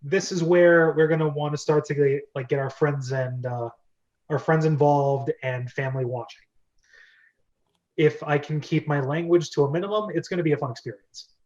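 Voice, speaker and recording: A man's voice: on the high side, steady tone, moderate pace, darkish tone. A man hosting a casual group chat in a chatty, rambling, enthusiastic way. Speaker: host of a script-reading club. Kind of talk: casual group chat over video call. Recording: dull, lo-fi call audio.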